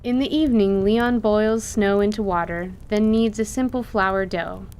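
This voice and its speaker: A woman's voice: medium pitch, normal speed, darkish tone, clean tone. A woman reading scripted story narration for an audio drama.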